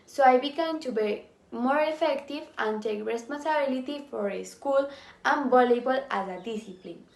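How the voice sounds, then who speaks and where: A woman interviewed as a volleyball player, giving prepared answers in a steady, measured way with a few hesitations. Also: sits high, gravelly. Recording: echoey room, mic at a distance.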